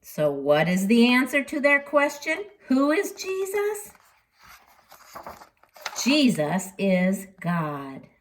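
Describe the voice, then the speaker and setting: A woman storyteller reading expressively to children, dramatic in delivery, with deliberate pauses and emphasis. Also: fairly high pitch, sing-song, a little hiss or hum.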